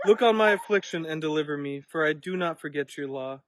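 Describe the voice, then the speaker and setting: A man's voice: a little high, brisk, no room sound, studio quality. A man reading verses of scripture aloud. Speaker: Bible reader.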